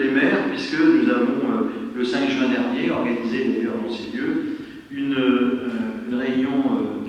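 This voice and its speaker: A man giving a semi-formal address as a local official, speaking off the cuff in a halting, deliberate way and stressing key words. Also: mid-range voice. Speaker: local official chairing a council meeting. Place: large reverberant meeting hall. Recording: echoey hall, distant mic, noisy.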